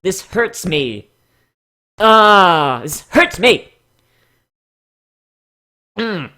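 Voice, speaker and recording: A man hosting casual, mocking commentary and dramatic readings, crude, exclamatory and quick to swear. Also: high-pitched, sing-song, bright, forceful tone. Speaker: host reading fan characters aloud.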